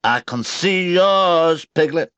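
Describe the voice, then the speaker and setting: A man voicing a simple, kindly male bear who talks in a soft, bumbling, hesitant way. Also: fairly high pitch, animated, slow pace, clear, resonant tone, no room sound, voice pushed up. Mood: earnest.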